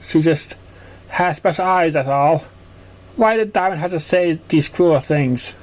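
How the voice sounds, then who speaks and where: A sad little girl pony, acted by a man, speaks in a raised, high pitch. The storybook character is childish, plaintive and easily hurt. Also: unhurried, a little hiss or hum, natural voice. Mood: hurt, downcast.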